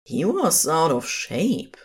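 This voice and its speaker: A woman's voice: low voice, huge pitch swings, slow, background noise. A woman reading scripted fiction as the narrator of an audio drama.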